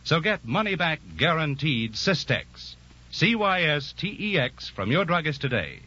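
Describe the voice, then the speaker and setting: Forceful, husky 1940s radio pitchman. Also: sing-song, measured pace. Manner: emphatic, persuasive. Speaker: advertisement announcer. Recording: muffled 1940s radio recording.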